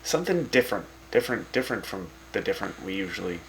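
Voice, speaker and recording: A man's voice: low-pitched, sing-song, fast, a little hiss or hum, rough recording. A man playing a wry, teasing wasteland radio host who chats with his guests. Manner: sarcastic, teasing, chatty.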